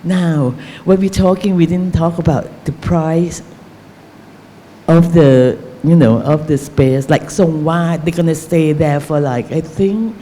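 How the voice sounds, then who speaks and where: A woman with a Thai accent, speaking English as a novelist on an academic panel, halting and searching for words with many fillers. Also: low voice, warm tone, slight background noise, low-quality recording. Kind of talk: panel discussion answer.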